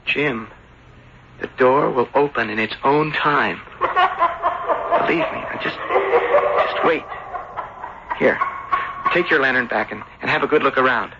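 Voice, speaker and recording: A man's voice: mid-range voice, very animated, slow, vocal fry, long pauses, heavily acted. An actor playing an intense young man who believes in spirits, speaking with earnest, eerie conviction in a radio drama. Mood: eerie, insistent. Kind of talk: old-time radio drama acting. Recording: muffled, very noisy old radio recording.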